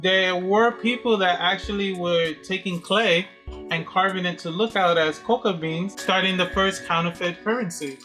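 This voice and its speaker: A woman's voice: fairly low pitch, normal speed, a bit bright, low-quality recording. A young woman chatting casually as co-host, sharing facts and cracking playful jokes. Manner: joking, playful. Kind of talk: casual chat. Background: music.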